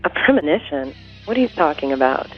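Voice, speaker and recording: An actress playing a fair-minded, sarcastic hospital worker in an audio soap opera, with a dry, skeptical manner. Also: female voice, deep voice, sing-song, fairly quick, muffled recording, soft background music. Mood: puzzled.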